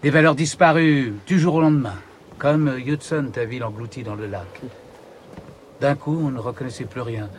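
An actor playing a nostalgic, idealistic Siberian-born polar researcher in a radio drama, telling things in an earnest, reflective way. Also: male voice, mid-range voice, moderate pace, slight background noise, natural voice. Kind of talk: naturalistic French radio drama acting.

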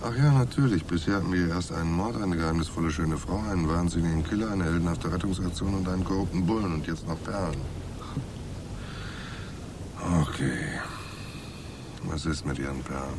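An actor playing a wry, world-weary private detective in a noir radio drama, speaking in a dry, sardonic, laconic way. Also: male voice, deep voice, fast, background noise, lightly acted. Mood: dry, businesslike.

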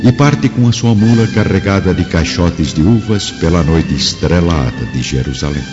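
A man's voice: low-pitched, animated, moderate pace. A man giving solemn, formal dramatic narration for a radio drama. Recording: reverberant, muffled, music and effects behind.

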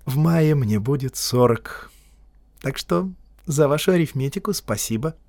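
A man's voice: medium pitch, lively intonation, unhurried, darkish tone, no echo, studio quality. Man reading as a cool teasing woman. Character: poised proud woman near forty, teasing.

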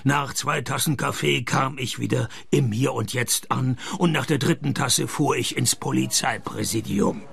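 A man playing a laconic, deadpan private detective who narrates and questions in a radio crime play, with a gravelly voice. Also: medium pitch, normal speed, hardly any pauses, no echo, clean studio sound, full character voice. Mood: deadpan narration. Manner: deadpan, dryly ironic. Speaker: lead actor and first-person narrator. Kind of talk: German-language radio crime play, dry noir narration.

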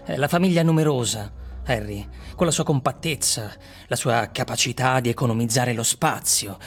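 An actor playing a frustrated, world-weary tenant in an Italian dystopian radio drama, with a sardonic manner. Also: male voice, mid-range voice, normal speed, faint music behind, lightly acted. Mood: indignant. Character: John Ward, frustrated tenant in overcrowded dystopian city.

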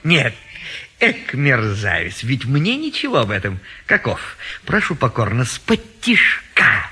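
An actor playing a pushy, meddling friend turned matchmaker in a classic stage comedy, forceful and cajoling with sudden exclamations. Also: male voice, mid-range voice, wildly swooping pitch, a little slow, breathy edge, heavily acted. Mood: indignant surprise. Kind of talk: classic stage comedy audio play acting.

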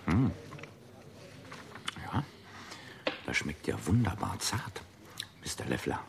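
An actor playing a polite, curious employee in a radio drama, hesitant and eager to please. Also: male voice, deep voice, sing-song, takes it slowly, creaky, background noise, full character voice. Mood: delighted. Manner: hesitant, deferential, exclaiming. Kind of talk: German radio drama acting.

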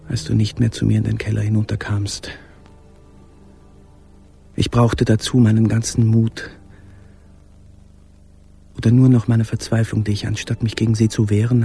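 An actor in a radio drama monologue playing a despairing, yearning man, speaking in measured, literary and intense phrases. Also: lowish voice, creaky, mellow. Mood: longing, hushed. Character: despairing man in hiding, yearning for a lost companion.

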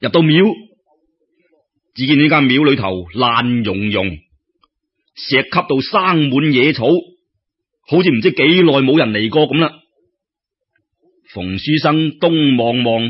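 A man telling a traditional story in Cantonese as a radio storyteller, colloquial and expressive, with exclamations and drawn-out particles. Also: medium pitch, slightly rough. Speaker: storyteller, radio show host. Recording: dull lo-fi radio-style recording.

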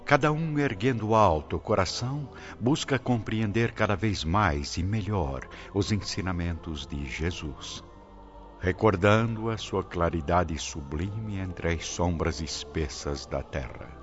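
A man's voice: low-pitched, expressive, a little slow. A man with a Brazilian accent narrating a radio drama from a script in a solemn, literary, declaimed manner. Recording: dull audio, faint music behind.

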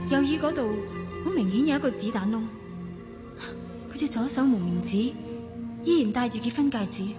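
A composed, considerate mother voiced by an actress in a radio drama. Her voice sits fairly high, with music clearly playing behind it. She speaks and narrates with steady self-control. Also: lightly acted. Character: composed married mother, calm under crisis, considerate. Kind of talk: Cantonese radio drama acting and first-person narration.

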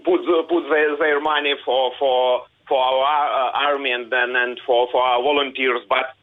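A thin, tinny telephone line carries a man with a Ukrainian accent and a mid-pitched voice. A native Russian speaker, he gives an eyewitness account as an interviewee, haltingly searching for his words in English. The sound is narrow and dry. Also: level tone, no room sound. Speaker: interviewee, city resident.

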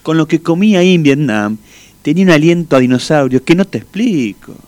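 Radio comedy patient played by a man: groggy, naive, earnest, Argentine, fairly high male voice, lively melody, dry close sound. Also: brisk, dry recording, lightly acted. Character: dazed, simple-hearted male hospital patient coming round. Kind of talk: comic radio theatre acting.

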